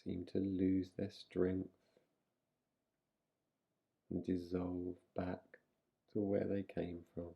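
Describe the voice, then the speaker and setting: A man guiding a relaxation meditation in a soothing, unhurried manner, leaving long pauses between short phrases. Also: low-pitched, completely flat delivery, vocal fry, husky, dull audio. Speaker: meditation guide. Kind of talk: guided relaxation meditation, yoga nidra.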